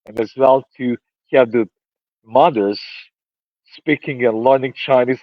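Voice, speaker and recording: Halting man, native Serbian and Romanian speaker. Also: medium pitch, no echo, dull audio. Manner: halting, many fillers and restarts. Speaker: interview guest. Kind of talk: casual interview answers.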